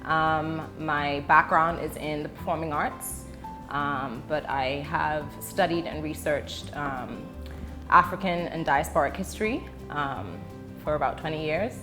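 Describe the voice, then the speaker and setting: Slow, measured woman explaining, lowish voice. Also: music in the background. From the Bahamas and the UK. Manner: measured, deliberate, pausing to choose words. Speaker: interview guest, educator. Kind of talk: explanatory interview talk.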